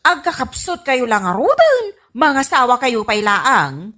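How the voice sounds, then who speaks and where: A dramatic, exasperated Ilocano daughter in a radio comedy. Her voice is very high and bright, scolding and exclaiming with big swoops of pitch. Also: huge pitch swings, unhurried, exaggerated voice. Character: exasperated, dramatic grown daughter of a stubborn old man.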